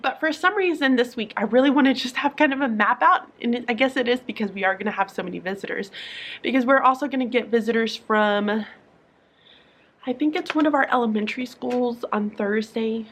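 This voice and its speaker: A woman's voice: on the high side, fairly quick, a bit bright. Sleepy young woman teacher rambling to camera. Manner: rambling, lots of fillers and restarts. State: sleepy morning voice. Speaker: teacher vlogger. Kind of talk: casual talk to camera. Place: her classroom at school.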